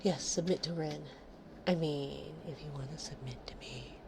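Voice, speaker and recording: A woman with a nasal voice chatting casually on a late-night livestream, slipping into soft whispers between easygoing remarks. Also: low-pitched, normal speed, creaky, background noise. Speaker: livestream host. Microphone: streaming microphone close up.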